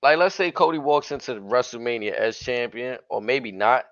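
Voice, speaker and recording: A man co-hosting a casual wrestling talk stream, his voice a bit hoarse and raspy from yelling, with relaxed slangy banter. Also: mid-range voice, normal speed, no echo. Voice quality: slightly raspy. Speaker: wrestling show co-host. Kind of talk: casual livestream chat. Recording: dull dry lo-fi stream audio.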